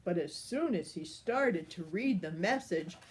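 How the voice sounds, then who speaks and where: An older woman, a grandmother, reading a picture book aloud to children in a playful, animated way. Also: fairly low pitch, measured pace. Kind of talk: storybook read-aloud.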